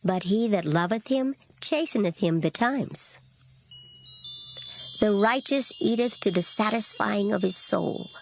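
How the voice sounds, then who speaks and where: A woman giving a dramatized reading of scripture in formal old English, measured and solemn. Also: mid-range voice, vocal fry, slight background noise. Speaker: scripture reader. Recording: loud music behind the voice. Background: music.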